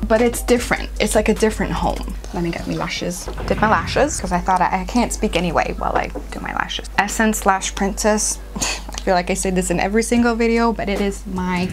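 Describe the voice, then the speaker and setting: A woman of around thirty, talking fast and rambling through a makeup vlog. She is recorded close to a microphone at home. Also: mid-range voice. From born in Hungary, raised in England. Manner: quick, rambling, self-deprecating asides. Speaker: beauty vlogger. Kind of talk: chatty get-ready-with-me monologue. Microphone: microphone close to her.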